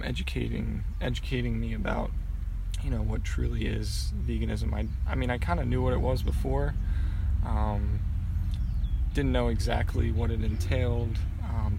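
A young man from Pennsylvania talks through his answers in a casual, meandering way, filling the gaps with ums and starting sentences over. His voice has a gravelly, creaky edge. A faint hiss sits behind him. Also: medium pitch. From Pennsylvania, USA. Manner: rambling, many ums and restarts. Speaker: guest.

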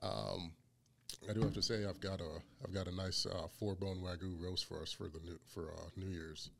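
Pria bariton berat, suaranya serak. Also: male voice, little pitch movement, moderate pace, vocal fry. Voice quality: deep baritone. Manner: laughing, joking banter. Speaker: podcast host. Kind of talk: casual barbecue podcast chat.

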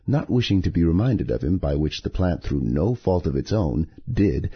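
A man hosting a poetry podcast, reading scripted material in a measured, deliberate way with a husky voice. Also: sits low, big pitch swings, dull audio. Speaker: podcast host and poetry reader.

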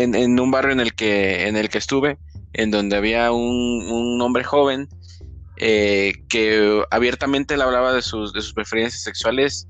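A man hosts a relaxed podcast chat. His voice sits a little low but sounds bright and forward. He keeps stopping and restarting his sentences, and faint music plays under him. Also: no room sound. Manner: halting, many restarts and fillers. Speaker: podcast host. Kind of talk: casual podcast conversation.

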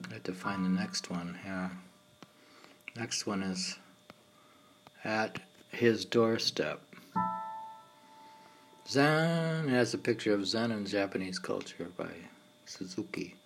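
A man reading his own meditative poems in a halting way, now and then repeating or stumbling over words. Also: on the low side, gravelly. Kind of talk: slow meditative poetry reading. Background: piano and keyboard music.

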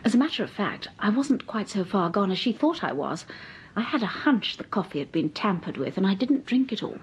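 An actress playing a quick-witted, curious wife of a detective in a radio drama, questioning eagerly. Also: female voice, mid-range voice, big pitch swings, dull audio, slight background noise, close to an everyday voice. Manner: bright, inquisitive questioning. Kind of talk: 1940s radio drama acting.

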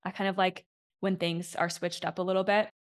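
A woman hosting an unscripted solo podcast as a coach. She sounds slightly raspy from a chest infection and talks candidly and reflectively, often checking in with "right?". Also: fairly low pitch, level tone, fairly quick.

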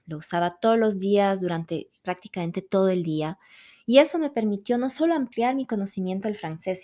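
A middle-aged woman from Ecuador, calmly narrating a scripted personal story as a podcast host. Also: mid-range voice.

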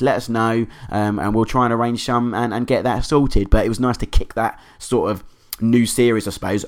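A man hosting a podcast, hoarse from a cold, chatting in an enthusiastic, rambling way. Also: fairly low pitch. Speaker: podcast host. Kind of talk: casual podcast monologue.

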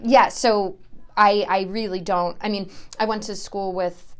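A woman's voice: medium pitch, steady tone, a little slow, smooth. A woman with an American accent, talking as a podcast guest in a chatty way, with many ums and easy laughs. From grew up in Europe as a US Army child. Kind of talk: casual interview chat.

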